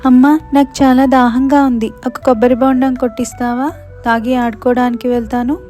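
A woman as a high-voiced innocent little girl. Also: little pitch movement, moderate pace, warm tone, soft background music, close to an everyday voice. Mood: eager, polite. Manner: childlike, eager, polite. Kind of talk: moral story cartoon dialogue.

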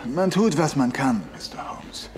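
An actor playing a dry, authoritative Victorian police inspector in an audio drama. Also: male voice, a little high, expressive, measured pace, darkish tone, slight background noise. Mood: dry, businesslike.

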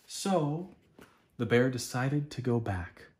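A man in his thirties reading a children's picture book aloud expressively, with dramatic, deliberate pauses. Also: medium pitch, expressive, darkish tone. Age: 30s. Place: small living room at home, indoors.

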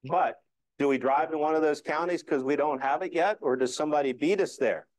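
A man's voice: fairly high pitch, brisk, no room sound. A middle-aged man giving an informal club presentation, explaining things in a conversational way. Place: indoor meeting room.

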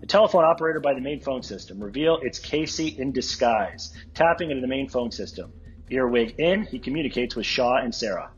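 A man's voice: medium pitch, lively intonation, brisk, dull audio. A man reading screen directions aloud at a live table read.

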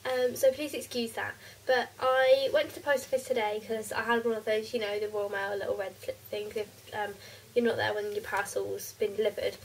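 A teenage girl with a cold and a croaky, stuffy voice, chatting casually through a makeup haul in her bedroom, with lots of ums. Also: medium pitch, level tone, moderate pace, distant mic, slight background noise, low-quality recording. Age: teens. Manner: chatty, rambling with many ums. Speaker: beauty vlogger. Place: bedroom, indoors.

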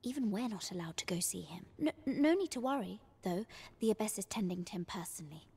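A woman's voice: medium pitch, expressive, a little fast, mellow, husky. An actress playing a stern young woman attending an abbey, who speaks in formal, old-fashioned phrasing.

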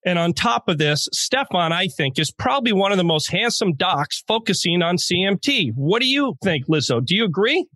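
A man's voice: high-pitched, big pitch swings, normal speed, few pauses, pushed and bright, no room sound. A middle-aged man hosting a podcast, upbeat and chatty, firing off questions at his guest. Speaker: podcast host. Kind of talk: casual interview hosting.

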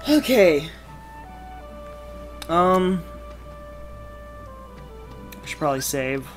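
A woman's voice: low-pitched, very animated, extremely slow. A woman doing casual video game commentary, sometimes reading game dialogue aloud. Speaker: gamer, let's player. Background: game music.

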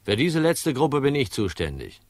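An actor playing a gruff, weary hard-boiled insurance detective in a crime radio drama, dry and laconic. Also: male voice, mid-range voice, huge pitch swings, normal speed, a little mellow, dry recording. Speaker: narrator and lead actor.

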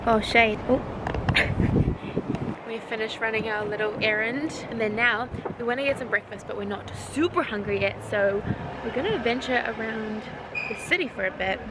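A woman's voice: fairly high pitch, moderate pace, very noisy. A bubbly, giggly young woman, chatting casually to a handheld camera while out in the city. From New Zealand. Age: young adult. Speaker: vlogger. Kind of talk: casual vlog chat. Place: outdoors in a city and inside a car. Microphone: handheld camera at arm's length. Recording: music playing under the voice.

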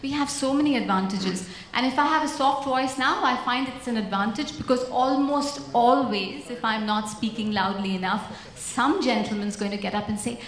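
Soft-voiced, wry woman banker. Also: a little high, moderate pace, few pauses. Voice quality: naturally soft voice. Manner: wry, good-humored. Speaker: panelist, banker. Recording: echoey hall with faint noise.

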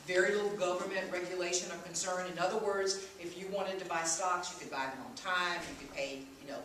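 A woman gives an informal history lecture with slides. Her voice has a medium pitch. It reaches the mic from some distance, heavily echoing around the room, with faint noise underneath. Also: steady tone, brisk, a bit bright. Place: meeting room at a podium.

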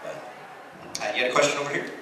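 A middle-aged American man, a voice actor telling casual anecdotes on a convention panel and acting out the exchanges he quotes. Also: racing. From United States. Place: large convention hall, on stage. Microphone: handheld mic through PA, filmed from audience. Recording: echoey hall from far off, noisy.

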